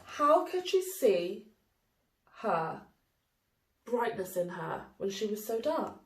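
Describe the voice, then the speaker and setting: In a roomy, echoing space, a woman reads a children's story aloud. She takes her time, with an animated, deliberate storytelling delivery and a mid-range voice. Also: medium pitch. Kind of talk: expressive children's storybook reading. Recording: roomy, echoey indoor sound.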